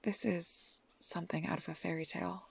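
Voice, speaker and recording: Casting: a woman as a possessive fey girlfriend for ASMR roleplay, intimate and breathy, lowish pitch with heavy vocal fry. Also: level tone, fairly quick, slight background noise. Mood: dreamy, doting. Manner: intimate, doting, often breathy whispering. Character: obsessive, sweet-talking fey woman posing as a devoted lover. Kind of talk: ASMR roleplay, close personal attention.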